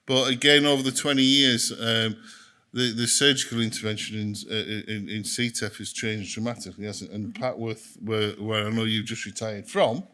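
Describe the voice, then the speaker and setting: A man with a bright, forceful tone introduces the next speaker at a conference. He stumbles and repeats words often while keeping a friendly chairing manner. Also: lowish voice, fairly even intonation, normal speed.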